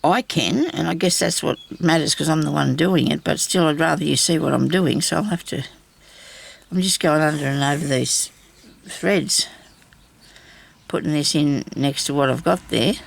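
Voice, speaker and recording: An older woman with a deep, raspy voice, chatting casually and laughing at herself while she does craft work. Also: normal speed, slight background noise. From Australia. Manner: chatty, self-deprecating, laughs often. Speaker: craft vlogger.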